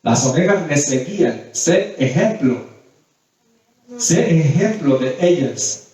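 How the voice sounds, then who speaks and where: Guy preaching a sermon, kinda high voice, repeats stuff to teach, super echoey room. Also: lively intonation, slow, a little mellow. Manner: didactic, repeats phrases for emphasis. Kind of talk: sermon with scripture readings and questions to the congregation. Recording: very echoey hall, distant mic.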